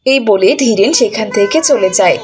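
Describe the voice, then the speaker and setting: A woman's voice: medium pitch, expressive, moderate pace, slight background noise. A woman narrating a scripted cartoon story.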